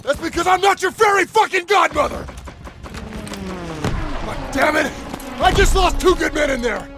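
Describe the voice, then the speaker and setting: Gruff, high-pitched, barking army sergeant. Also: male voice, moderate pace. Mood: furious. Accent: American. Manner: gruff, commanding, profane, sarcastic. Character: hard-bitten, scornful US Army sergeant barking orders at his squad. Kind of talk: cinematic war game acting. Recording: loud music behind.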